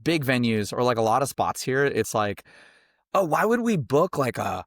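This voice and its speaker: A man's voice: mid-range voice, very animated, moderate pace, no echo, studio quality. A young man from a band, a guest on a podcast, talking in a rambling, laid-back way full of fillers. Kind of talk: casual interview chat.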